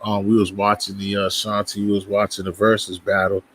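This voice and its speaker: A man's voice: lowish voice, completely flat delivery, fairly quick, fairly bright tone, slight background noise. A man from Boston with a Boston accent talks to his viewers on a casual livestream. His speech rambles and restarts as he greets people and shoots the breeze. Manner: loose, rambling talk to viewers, frequent restarts. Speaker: livestream host.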